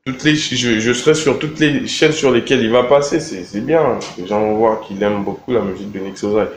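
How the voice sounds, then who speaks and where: A young man from Congo answering interview questions in a relaxed, halting way, with many fillers and repeated words. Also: lowish voice, slight background noise. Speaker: rapper interviewed. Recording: echoey room with faint ambience.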